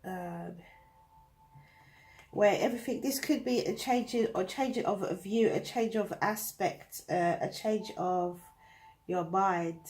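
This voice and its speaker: A woman's voice: medium pitch, slow, a little mellow, rough recording. A woman with a British accent, reading tarot cards to camera in a chatty, thinking-aloud way, her nose blocked up from a cold. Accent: British English. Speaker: tarot reader.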